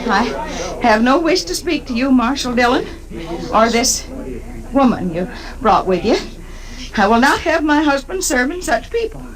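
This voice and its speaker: An actress playing a haughty, bossy, prim small-town wife in a 1950s radio western. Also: female voice, high-pitched, animated, normal speed, long pauses, a crowd in the background, poor audio. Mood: indignant, haughty. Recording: old-time radio recording.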